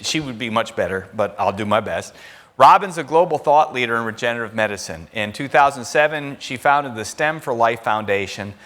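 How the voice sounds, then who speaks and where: A man's voice: mid-range voice, a bit bright. A man moderating a conference session and introducing the next speaker, casual and slightly stumbling.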